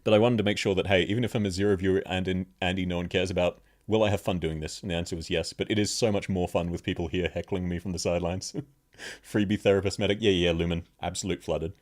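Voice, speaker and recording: A man with a very deep bass voice, chatting casually with viewers on a live stream and laughing often. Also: fairly even intonation, quick pace. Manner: laughs constantly, chatty, answers chat questions. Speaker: VTuber streamer. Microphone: streaming microphone, level shifts as he moves.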